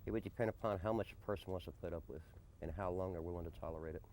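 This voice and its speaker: A man being interviewed in a conversational documentary, speaking deliberately and often repeating a question back before answering. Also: deep voice, a little mellow. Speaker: interviewee, desert camp resident and odd-job handyman. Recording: noisy early-90s amateur documentary tape.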